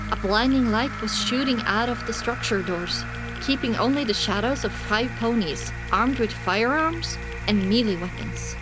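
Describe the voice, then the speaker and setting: A woman narrates a dramatised audiobook in the first person. Her voice is fairly high, and the scripted reading is dramatic. Music plays clearly underneath. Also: low-quality recording.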